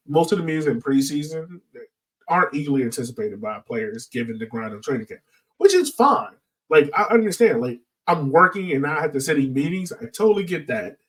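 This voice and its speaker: A man from the southern United States chatting casually on a sports podcast, thinking aloud as he explains his points. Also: a little high. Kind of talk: casual discussion. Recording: distant from the mic.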